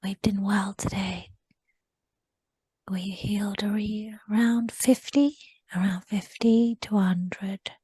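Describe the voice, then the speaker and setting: A woman whispering a slow first-person recollection in a guided session, often repeating short phrases. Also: mid-range voice, steady tone, warm tone, husky, no echo, clean studio sound.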